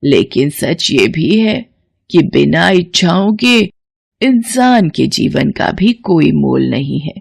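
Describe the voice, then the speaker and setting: Kind old village granny for a cartoon tale, husky and slow, sage and soothing. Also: female voice, deep voice, sing-song, a little mellow, heavily acted. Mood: wise, reassuring. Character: kindly, wise old village woman granting wishes.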